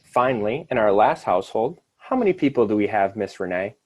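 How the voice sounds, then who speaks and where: A man's voice: on the low side, sing-song, fairly quick, darkish tone, husky, no room sound. A man leading a children's activity, giving instructions to kids and families in an upbeat, encouraging way.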